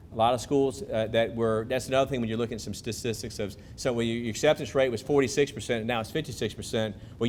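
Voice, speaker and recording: Guy explaining college admissions to parents, chatty, talks with the crowd, slight background hum. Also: medium pitch. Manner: conversational, rhetorical questions to the audience. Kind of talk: presentation to parents with Q&A. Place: school event hall.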